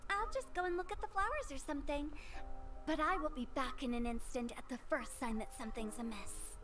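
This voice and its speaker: A woman's voice: high voice, a little fast, music in the background, close to an everyday voice. A woman voicing a playful, cheeky young funeral director in a video game, with a teasing, theatrical delivery. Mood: grudging. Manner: teasing, theatrical, sing-song.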